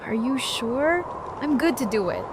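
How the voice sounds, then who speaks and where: A woman's voice: a little high, big pitch swings, measured pace, background noise. An actress playing a blunt, determined woman survivor in an audio drama. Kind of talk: fan audio drama acting.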